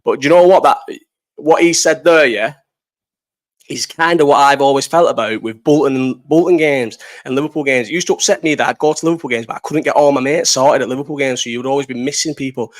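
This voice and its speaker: A young man talks fast and loosely, hosting a live chat and answering viewers. He rambles, swears and laughs often. His pitch sits in the middle of the usual male range. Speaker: livestream host. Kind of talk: casual live chat reading comments.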